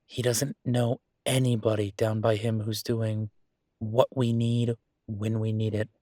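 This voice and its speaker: A man's voice: fairly low pitch, flat delivery, a little slow, dark tone, no echo, clean studio sound, lightly acted. A man playing a frustrated, affectionate restaurateur boyfriend in an intimate roleplay audio drama, talking conversationally and hesitantly to his partner. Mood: venting, appreciative. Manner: conversational, hesitant, exasperated but fond.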